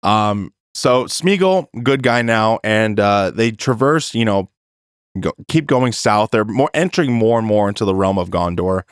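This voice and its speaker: A man's voice: fairly low pitch, animated, measured pace, clear, resonant tone, no room sound, studio quality. A man hosting a podcast, chatting casually and loosely as he recaps, with some swearing. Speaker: podcast host. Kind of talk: casual film chat, sweary.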